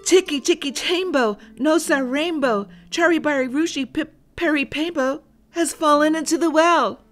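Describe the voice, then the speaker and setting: A woman's voice: high-pitched, normal speed, faint music behind, pitched above the performer's own voice, natural voice. A woman voicing a small, breathless boy from a children's storybook, crying out urgently and respectfully. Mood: panting, urgent.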